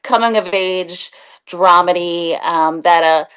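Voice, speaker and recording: A woman's voice: on the low side, takes it slowly, fairly bright tone, clear, resonant tone, low-quality recording. An American woman chatting as a podcast guest, upbeat and earnest. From Philadelphia suburbs, United States. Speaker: actor and film producer, podcast guest. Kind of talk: casual chat.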